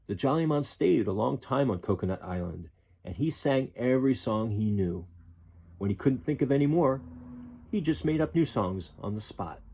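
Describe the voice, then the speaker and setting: A man reading a children's story aloud as a storyteller, expressive and deliberate. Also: medium pitch, vocal fry, husky.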